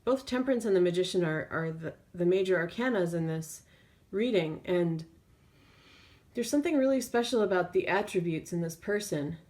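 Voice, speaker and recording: A woman tarot reader speaking off the cuff in an intuitive reading, feeling her way through phrases with drawn-out pauses. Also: on the low side, animated, darkish tone.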